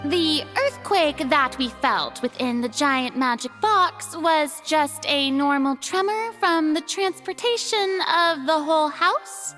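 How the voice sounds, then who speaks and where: A proud young goddess on trial in a game, high-voiced and swooping. She speaks slowly and grandly, like a stage diva, pompous with a shaken edge. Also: animated, pushed and bright, soft background music, exaggerated voice. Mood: haughty, knowing. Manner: pompous, theatrical, grandiose delivery. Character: theatrical, haughty young female archon on trial, proud yet shaken. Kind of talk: dramatic video game voice acting.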